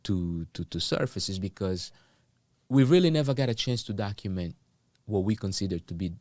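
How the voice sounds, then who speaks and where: A man from Angola with a fairly low voice, hosting a relaxed interview. He talks in an easy, affirming way, often checking in with his guest. The sound is dry, with no echo. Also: moderate pace. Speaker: interview host. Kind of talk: casual interview chat.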